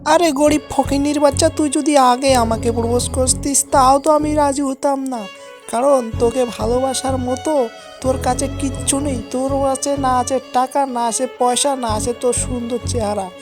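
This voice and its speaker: A man's voice: very high-pitched, fairly even intonation, moderate pace, voice pushed up. A man voicing a haughty young woman in a cartoon, cutting and insulting as she rejects a poor suitor. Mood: contemptuous. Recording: loud music under the voice.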